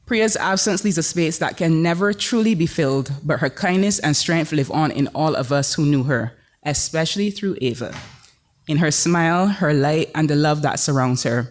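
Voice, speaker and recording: A man speaks at a memorial, bidding a tender, solemn farewell. His voice sits a little higher than most men's. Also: normal speed. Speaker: eulogy speaker. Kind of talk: delivering a eulogy.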